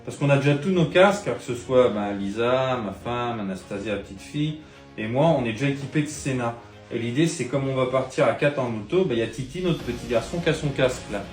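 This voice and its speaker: A man's voice: mid-range voice, lively intonation, fairly quick. Chatty man unboxing gear in an echoey room. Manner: chatty, thinks aloud, swears when annoyed. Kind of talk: casual unboxing chat. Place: room at home. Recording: roomy echo, faint music behind.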